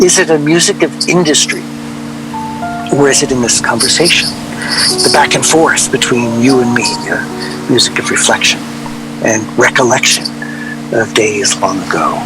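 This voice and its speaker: An actor playing a wistful, aggrieved man who reminisces in a reflective, measured way, as if interviewed for a radio drama. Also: mid-range voice, natural voice, rough recording. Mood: searching, reflective. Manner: reflective, measured, rhetorical questioning. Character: wistful, aggrieved man recalling a soured musical collaboration. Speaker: interview guest. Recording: music playing behind the voice. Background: music.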